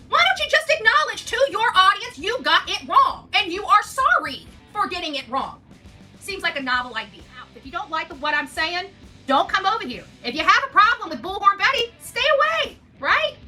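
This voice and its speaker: A woman rants informally to her online audience. Her voice is high and sharp, and she is combative, hammering points by repeating them. Faint music runs behind her. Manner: combative, repetitive, emphatic. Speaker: online video content creator. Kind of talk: informal unscripted rant to an audience.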